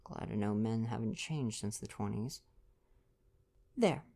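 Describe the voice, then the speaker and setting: A woman reading gently in a soft ASMR bedtime storytelling style. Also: rumbling low, fairly even intonation, moderate pace, gravelly. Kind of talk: soft bedtime audiobook reading with casual asides.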